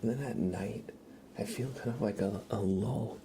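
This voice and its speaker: A man doing casual ASMR chat as a live streamer, murmuring and whispering softly and gently, with trailing pauses. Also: background noise. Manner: soft, breathy whispering and low murmuring.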